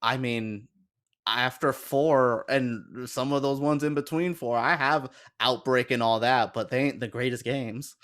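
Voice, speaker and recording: A man's voice: mid-range voice, unhurried, fairly bright tone. A man talking informally as a panelist in a film and game discussion, in a colloquial conversational way. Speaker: podcast panelist.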